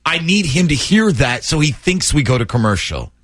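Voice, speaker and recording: A man's voice: on the high side, big pitch swings, normal speed, fairly bright tone, no echo, studio quality. A man hosting a morning radio show, chatty and jokey as he banters and sets up prank calls.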